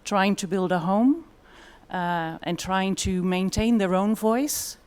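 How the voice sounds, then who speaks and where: A woman's voice: mid-range voice, takes it slowly, a little mellow, clear, resonant tone. A woman with a Dutch accent giving a conference presentation in a thoughtful way, with frequent fillers. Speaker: conference speaker.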